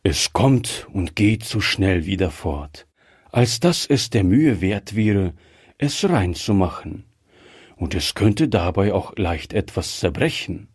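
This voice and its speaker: A man voicing a cautious, gruffly kind old shopkeeper in a storybook, measured and hesitant. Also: deep voice, expressive, no room sound, studio quality, heavily acted. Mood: embarrassed. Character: grey-headed old male shopkeeper, cautious, guilt-ridden, gruffly kind. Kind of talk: acted storybook dialogue.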